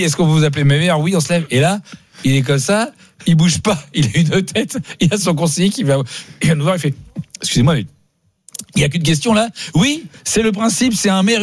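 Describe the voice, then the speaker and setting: A man with a French accent hosting a radio show, joking and telling stories in an animated, slangy, teasing way. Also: sits high, no room sound. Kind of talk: jokey casual chat and storytelling. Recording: dry studio with music behind. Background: music.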